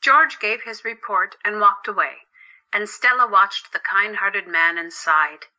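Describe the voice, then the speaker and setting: A woman's voice: medium pitch, unhurried, bright, forceful tone, dull audio. A woman narrating a scripted story for an audio drama.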